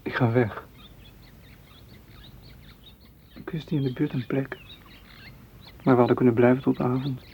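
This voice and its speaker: A man's voice: mid-range voice, fairly even intonation, a little fast, lightly acted. An actor playing a possessive, wooing man in a radio drama, pressing and reproachful in manner. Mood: hurt. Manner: insistent, reproachful. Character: possessive, wooing suitor seeking warmth and closeness. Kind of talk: literary radio drama acting. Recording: dull, noisy radio play recording.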